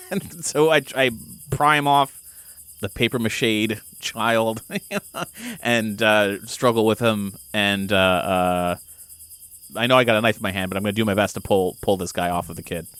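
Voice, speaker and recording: Clear-voiced man playing a tabletop game. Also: on the low side, a little slow, fairly bright tone, no room sound. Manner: informal, thinking aloud. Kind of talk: casual improvised game play.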